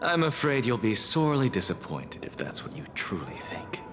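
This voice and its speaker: An actor playing a proud, sardonic scholar in a video game, dry, theatrical and weary of being teased. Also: male voice, lowish voice, wildly swooping pitch, normal speed, over music. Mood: irritated. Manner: dry, haughty, exasperated, theatrical.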